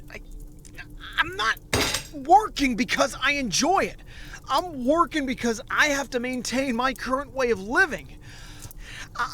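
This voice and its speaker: A man playing an awkward, frustrated office worker in his late twenties in an audio drama, with halting, stammering speech. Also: very high voice, creaky, background noise, exaggerated voice. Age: late 20s.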